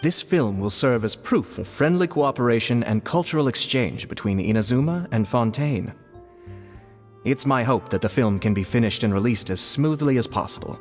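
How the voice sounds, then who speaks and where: A man voicing a courteous, diplomatic young nobleman in a video game, formal and measured. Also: medium pitch, expressive, in a large hall, close to an everyday voice. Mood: courteous, sincere. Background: music.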